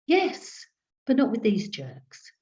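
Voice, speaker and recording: A woman's voice: a little high, huge pitch swings, takes it slowly, warm tone, voice pushed up, heavily acted. A woman storyteller voicing a confident, teasing woman psychic with dry wit, heard over a video call. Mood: bright, keen. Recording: dull lo-fi video call audio.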